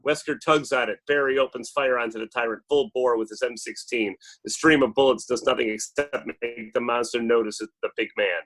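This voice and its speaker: A man with a mid-pitched voice narrates a screenplay. He reads scripted action lines aloud in the loose setting of a table read. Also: fairly even intonation, a little fast, few pauses, fairly bright tone, rough recording. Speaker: narrator reading stage directions.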